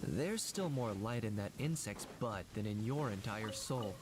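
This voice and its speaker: A man's voice: on the low side, expressive, a little slow. Dry, unruffled young man, noisy audio. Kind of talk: video game voice acting. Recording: noisy game audio through livestream.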